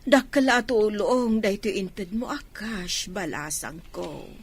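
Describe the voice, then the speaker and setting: A woman's voice: medium pitch, lively intonation, takes it slowly, slightly rough, heavily acted. A woman with an Ilocano accent playing a humble old village grandmother in a radio drama. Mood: grateful.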